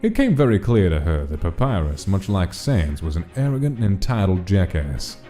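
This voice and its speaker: A man's voice: low-pitched, sing-song, normal speed, dark tone. A man reading a scripted, dramatic story as its narrator. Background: background music.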